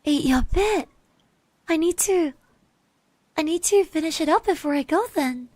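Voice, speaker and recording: A woman playing a shy, friendly schoolgirl classmate in an ASMR roleplay, speaking softly, gently and hesitantly. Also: very high-pitched, measured pace, no room sound. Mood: panicked surprise. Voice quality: soft, breathy. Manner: hesitant, gentle, with little hums and trailing repeats. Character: shy, friendly high school girl classmate, admiring and easily flustered.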